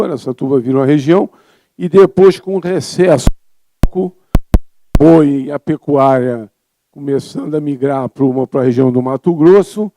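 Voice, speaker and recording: A man's voice: on the high side, dark tone. A Brazilian man in his seventies, a physician giving an informal, reflective talk as a presenter at a congress. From Rio de Janeiro, Brazil. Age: 70s.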